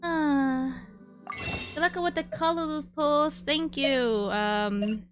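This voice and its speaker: A woman's voice: sits high, very slow. A woman livestreaming a game, chatting casually and muttering to herself as she thinks aloud. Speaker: livestreamer, VTuber. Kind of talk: casual live gaming stream chat. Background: background music.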